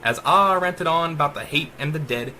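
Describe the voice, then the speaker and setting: A man's voice: a little high, moderate pace, pushed and bright, a little hiss or hum. A man narrating a rhyming short story as a dramatic audiobook, with theatrical, emphatic delivery. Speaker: author reading own short story.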